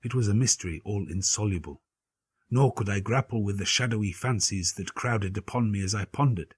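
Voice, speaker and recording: A man giving a dramatic gothic audiobook narration in a grave, measured, theatrical manner, with a husky voice. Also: fairly low pitch, no echo, clean studio sound. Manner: grave, measured, theatrical delivery with formal literary phrasing.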